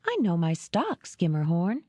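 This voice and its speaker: A woman voicing a proud, quick-tempered young woman shopkeeper in an audiobook, with a raised, emphatic delivery. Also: on the low side, huge pitch swings, a little mellow, slightly rough, no echo, heavily acted. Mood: defiant. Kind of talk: audiobook character reading.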